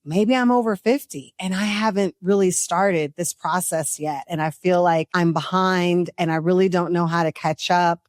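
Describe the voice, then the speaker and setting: A woman with a slightly low voice interviews a guest as host. Her talk is conversational and reflective, with considerately framed questions, recorded dry with little room sound. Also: dry recording.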